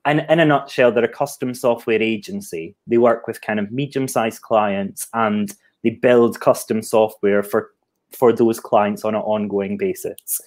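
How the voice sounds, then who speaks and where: Scottish man guest, casual and chatty, quick to laugh, on a video call. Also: medium pitch. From Scotland. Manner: chatty, self-deprecating, laughs easily. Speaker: guest, head of marketing.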